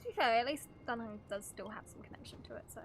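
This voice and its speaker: Higher-pitched woman streamer, casual and playful. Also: lively intonation, fast, noisy background. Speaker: game streamer. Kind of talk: live reaction commentary, reading game dialogue aloud. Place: live stream over game audio.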